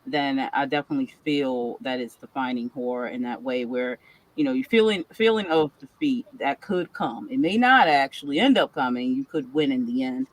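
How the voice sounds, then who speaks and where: A woman's voice: a bass voice, lively intonation, moderate pace, low-quality recording. A woman horror author on a panel, talking in an eager, rambling way with lots of fillers. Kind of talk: casual panel discussion.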